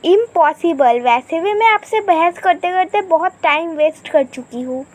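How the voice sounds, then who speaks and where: A woman performs a young, stubborn daughter-in-law from an animated moral tale. The voice is strikingly high and unhurried. She keeps polite forms of address while firmly talking back. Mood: dismissive. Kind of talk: scripted animated moral story dialogue.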